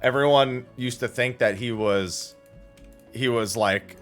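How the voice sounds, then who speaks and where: A man chatting casually as a livestream host, telling anecdotes in an animated, profane way and acting out the dialogue. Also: mid-range voice, slow, faint music behind.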